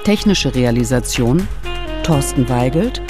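A man's voice: mid-range voice, animated, over music. A man formally reading out the closing credits of a radio play. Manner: slow, formal announcing. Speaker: credits announcer.

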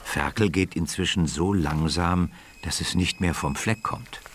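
A man's voice: deep voice, a little slow, a bit bright, slight background noise. A man narrating a scripted children's radio story as its storyteller. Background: music.

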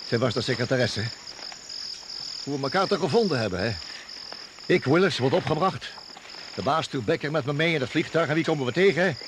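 An actor playing a mocking, goading prisoner among jungle survivors in a radio drama. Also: male voice, fairly high pitch, animated, brisk, pauses often, background noise, close to an everyday voice. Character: taunting, needling male prisoner in jungle thriller.

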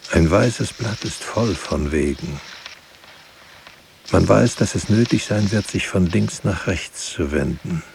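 An actor playing a grave, brooding writer, speaking solemnly in halting, deliberate phrases in a poetic radio play. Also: male voice, low-pitched, lively intonation, gravelly, darkish tone, slight background noise, full character voice. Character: grave, brooding writer musing on words and silence. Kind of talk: poetic radio drama monologue.

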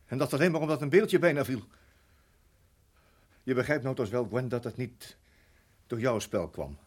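An actor in a radio play playing a weary, anguished husband who speaks earnestly and haltingly, repeating his words. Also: mid-range voice, breathy edge, natural voice. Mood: weary, despairing.

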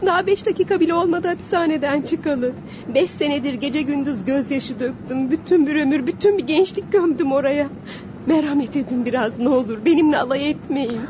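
High female voice, an actress playing a desperate humble ex-prisoner, tearful pleading, melodramatic radio play, dull noisy recording. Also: brisk, close to an everyday voice. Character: desperate, humble young woman just freed from prison. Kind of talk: melodramatic Turkish radio theatre acting.

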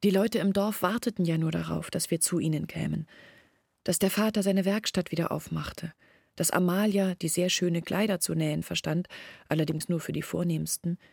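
An actress playing a sorrowful young village woman in a radio play, speaking in a hushed, deliberate, brooding way. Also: lowish voice, steady tone, creaky, slightly rough, no echo, studio quality. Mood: grave, explaining. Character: sorrowful young village woman recounting her family's disgrace. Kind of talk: radio play monologue, intimate recounting.

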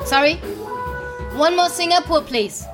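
A woman's voice: very high voice, measured pace. An actress playing an opinionated European expat woman, conversational, emphatic and blunt, in a naturalistic radio play. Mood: insistent. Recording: music behind the voice.

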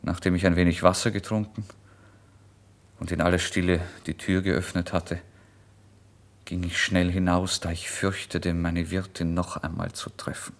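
A man giving a dramatic first-person literary narration, intense and theatrical, moving between hushed and loud delivery. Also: low-pitched, little pitch movement, moderate pace.

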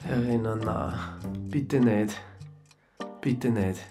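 An awkward amateur investigator in a radio drama, with an Austrian accent. He speaks in a halting, apologetic way at a middling pitch, with music behind him. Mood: awkward, defensive. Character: hesitant, awkward amateur sleuth Suchanek, male. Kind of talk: naturalistic radio drama dialogue.

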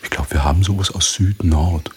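An actor in a radio drama playing a pompous, befuddled, moralizing army captain who speaks haltingly and repeats himself. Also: male voice, sits low, steady tone, a little mellow, husky. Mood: condescending, musing. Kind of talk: theatrical radio drama acting.

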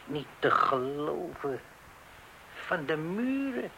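A man's voice: a little high, big pitch swings, slow pace, vocal fry, background noise, exaggerated voice. A man playing a stubborn, rule-bound refuse collector with a blunt manner. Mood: incredulous. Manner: blunt, colloquial, insistent. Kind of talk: radio play acting.

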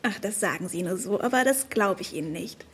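A young woman acting a naive, adoring gentlewoman in a period radio play, with a breathless, gossipy, effusive manner. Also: medium pitch, lively intonation, a little fast, slightly rough. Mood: dismayed protest.

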